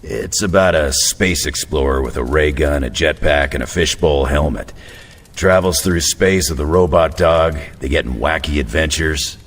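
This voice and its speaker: A man playing a gruff, blunt tough-guy superhero in a video game, with a gravelly, deadpan delivery in a reverberant space over music. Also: extremely low pitch, normal speed. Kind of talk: video game cutscene acting. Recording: reverberant hall, music behind.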